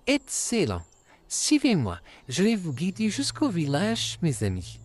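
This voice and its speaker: A man voicing a friendly, courteous male puppet in an amateur comic dub, calmly addressing his friends. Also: sits high, unhurried, full character voice. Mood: welcoming, amused. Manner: calm, courteous, repeatedly addressing listeners as friends.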